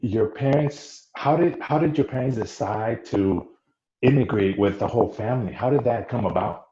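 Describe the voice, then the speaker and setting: A man hosting an interview show, his voice sitting at an ordinary middle pitch. He often restarts his sentences and asks several questions back to back. The room echoes around him, and the sound is dull with a faint hiss. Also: mellow. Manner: talks slowly, stacks up questions with restarts. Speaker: podcast host. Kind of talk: interview podcast. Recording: roomy, muffled audio with faint noise.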